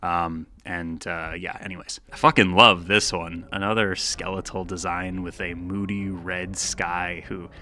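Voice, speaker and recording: A man's voice: low-pitched, flat delivery, unhurried, few pauses, bright, forceful tone. A man giving video essay commentary, musing and dramatic, shifting between scripted narration and casual, wry asides.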